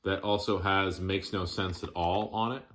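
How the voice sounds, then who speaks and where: Very deep, bright-voiced man presenting casually. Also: fairly even intonation, moderate pace, slight background noise. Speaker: music collector showing his collection. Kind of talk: casual show-and-tell vlog.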